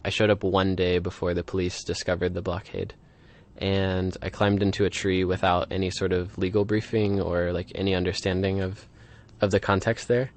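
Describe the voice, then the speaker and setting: A man from the American Midwest giving casual interview answers as an activist, halting and full of filler words. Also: sits low, flat delivery. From Midwestern United States.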